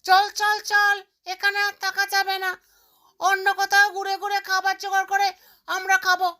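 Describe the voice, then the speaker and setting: A woman's voice: very high voice, completely flat delivery, a little slow, sharp tone, exaggerated voice. A woman voicing a little cartoon bird with a childlike, chattering manner. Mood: disappointed, resolved. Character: greedy, stingy little mother bird and her chirpy chicks. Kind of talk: cartoon character voice.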